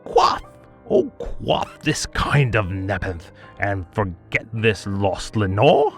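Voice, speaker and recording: A man voice actor giving a theatrical poetry reading, swinging between hushed murmurs and anguished cries. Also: fairly low pitch, wildly swooping pitch, slow pace, faint music behind. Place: home studio.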